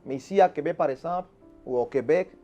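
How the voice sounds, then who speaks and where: A fairly high-pitched man speaks as a pastor being interviewed. He teaches with emphatic conviction and often stumbles back over a word before pushing on. Faint music plays underneath. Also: fast, warm tone, lo-fi sound. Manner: restarts and repeats words, emphatic teaching tone. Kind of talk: earnest preaching-style answers. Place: Quebec.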